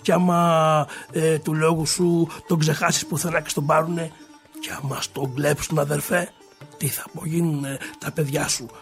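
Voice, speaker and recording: A man's voice: a little high, flat delivery, creaky, soft background music. A man voicing a greedy rich brother from a folk tale, coaxing and falsely caring in a rustic, theatrical manner.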